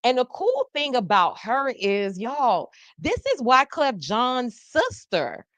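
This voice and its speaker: A woman's voice: a little high, slow, dry recording. A woman hosting a live news podcast, talking casually and playfully to her viewers in the chat. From South Florida. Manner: animated, playful, talks to the chat. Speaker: podcast host.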